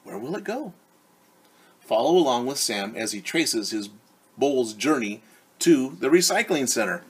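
Male preschool teacher for read-aloud, forties, mid-pitched and a little husky, animated, engages kids directly. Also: a little slow. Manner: animated, addresses children directly with questions and repeats key words. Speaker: children's teacher reading a storybook. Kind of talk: story time read-aloud for young children. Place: living room at home, indoors.

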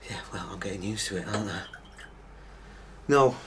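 An actor in a radio drama playing an evasive, restless husband pleading his case, with a husky voice. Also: on the low side, normal speed, background noise, lightly acted. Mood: defensive, frustrated.

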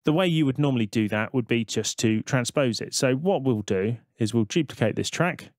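A man narrates a software tutorial in a casual, conversational way. His voice sits at a middle pitch and is recorded close and dry. He explains each step as he goes, like a tutor talking through a screen recording. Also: brisk, no room sound.

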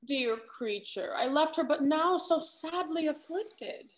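Woman playing a high-voiced, sharp-tongued society lady. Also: lively intonation, slow. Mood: arch, mocking. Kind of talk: theatrical reading of Restoration comedy. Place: indoor home room on a video call.

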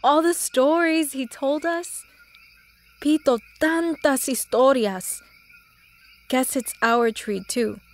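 A woman's voice: high voice, slow pace. A woman playing a wistful, loving young sister in an audio drama, tender and slipping into Spanish.

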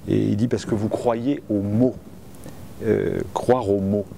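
A man in his fifties with a low, gravelly voice asks short questions, often starting with hesitant fillers. A steady noise sits in the background. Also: slow. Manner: brief prompts, hesitant fillers. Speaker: interviewer. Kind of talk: interview questions. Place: indoor room by a window.

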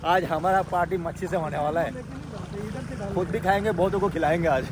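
A young man casually vlogging outdoors at a lake, excitedly pointing things out to viewers. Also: fairly high pitch. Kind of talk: casual vlog narration. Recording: noisy outdoor recording with rushing water.

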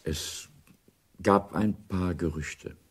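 An actor playing a reserved middle-aged intelligence officer in a German radio drama, restrained, courteous and guarded. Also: male voice, low voice, fairly even intonation, drawn-out words, breathy edge, exaggerated voice. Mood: uneasy, polite.

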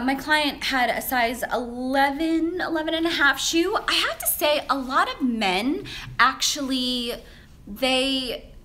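A young woman with a bright, fairly high voice hosts a live question-and-answer stream. She talks in a chatty, upbeat way, with plenty of fillers and quick laughs. She films herself on a phone held at arm's length in an office. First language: Vietnamese. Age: young adult. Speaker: menswear stylist answering viewer questions. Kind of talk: casual live Q&A. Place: high-rise office with large windows, indoors. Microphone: handheld phone at arm's length, shaky.

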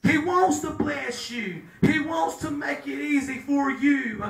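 A middle-aged man preaching a sermon at a pulpit, breaking into shouts and drawing call-and-response from the room. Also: very high voice, takes it slowly, vocal fry. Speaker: pastor. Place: small chapel room with a pulpit. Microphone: handheld mic, filmed by a far camera. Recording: echoey room from far off.

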